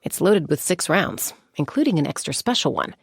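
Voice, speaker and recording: Husky, cool young gunslinger woman. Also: sits low, animated, moderate pace, dry recording, clean studio sound, exaggerated voice. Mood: calm, wry. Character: confident young woman gunslinger peacemaker, composed, wryly playful. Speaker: video game voice actor. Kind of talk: scripted game character voice lines.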